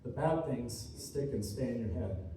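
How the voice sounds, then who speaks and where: A man delivers a motivational keynote in a very reverberant room, picked up from a distance. His voice is very soft-edged and dark in tone, at a middling pitch. He speaks earnestly and deliberately, building short phrases through repetition and emphasis. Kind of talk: inspirational keynote speech to a live room. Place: large indoor room at a seminar. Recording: distant mic, echoey room, faint noise.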